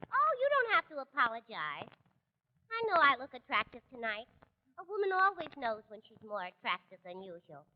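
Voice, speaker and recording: A woman's voice: on the high side, wildly swooping pitch, moderate pace. A woman playing a vain, self-assured, brassy New young woman in 1940s radio comedy. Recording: dull 1940s radio, hall reverb.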